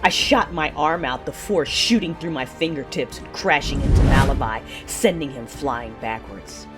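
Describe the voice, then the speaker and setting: A woman playing a betrayed, commanding dark-side warrior in an audio drama, narrating in the first person with intense, deliberate menace. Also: a bass voice, loud music behind the voice. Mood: furious.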